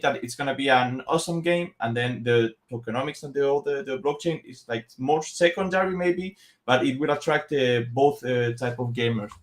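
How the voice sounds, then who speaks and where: A man from Spain speaking English. He hosts an online community Q&A over a video call, pausing often on drawn-out uhs as he lines up his questions. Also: mid-range voice. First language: Spanish. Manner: hesitant, frequent filler uhs. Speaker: community host. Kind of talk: hosting an online Q&A.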